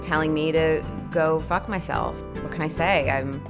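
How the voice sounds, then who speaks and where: Female talking head, deep-pitched, deadpan and crude, mock-documentary interview, faint music behind. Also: unhurried.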